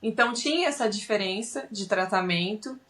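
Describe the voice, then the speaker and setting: A woman's voice: mid-range voice, normal speed, a bit bright. A woman with a Brazilian Portuguese accent, a podcast guest telling her experiences in a detailed, explanatory way. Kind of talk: casual interview storytelling.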